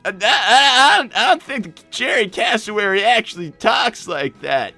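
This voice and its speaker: A man's voice: sits high, big pitch swings, unhurried, sharp tone, soft background music, heavily acted. A man voicing an anxious, easily flustered young cartoon character who stammers and repeats protests in improvised livestream banter. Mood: flustered, exasperated.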